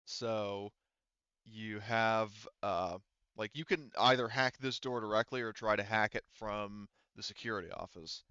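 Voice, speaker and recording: A man with a smooth, fairly low voice runs a tabletop game as its game master. He talks casually and haltingly, working things out aloud. The sound is close and dry, though a little dull. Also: sharp tone, no echo. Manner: halting, thinking aloud mid-sentence.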